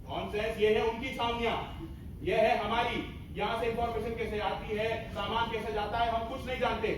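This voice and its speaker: A man's voice: very high voice, level tone, moderate pace. A man playing a principled, commanding army major in a theatrical stage play, emphatic and mixing in English orders. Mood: insistent. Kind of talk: theatrical Hindi stage acting. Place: stage of a hall at a student festival. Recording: echoey hall, far mic, faint noise.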